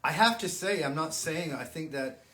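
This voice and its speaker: A man's voice: fairly high pitch, expressive, bright, forceful tone. An older man, a musician hosting a fan livestream, chatting casually and often stopping to restart his sentences. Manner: halting, frequent restarts and repeated words. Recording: echoey room, faint ambient noise.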